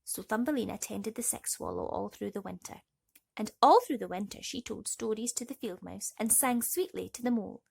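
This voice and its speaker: A woman's voice: a little high, a little fast, vocal fry, slightly rough. A woman storyteller narrating a bedtime story expressively for children.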